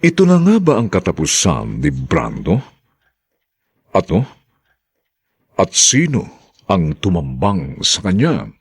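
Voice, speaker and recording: A man with a Filipino accent announcing and narrating a radio drama in a theatrical, weighty, measured style. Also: sits low, wildly swooping pitch, slightly rough, no echo.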